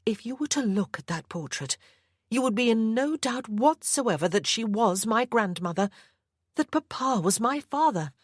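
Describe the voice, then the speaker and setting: A woman's voice: on the high side, lively intonation, moderate pace, slightly rough, dry recording, clean studio sound, voice pushed up. A woman reading the part of a young mother standing up to her captor, firm and earnest. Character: young mother, defiant and composed.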